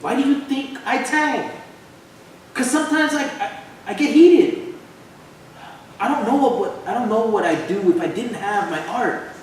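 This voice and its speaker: A man's voice: very high-pitched, measured pace, pauses often, darkish tone. A man playing a heated young street graffiti artist in a staged reading, with slangy, stumbling, emphatic speech, recorded from afar in a hall. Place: theater stage at a live reading. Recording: echoey hall from far, faint noise.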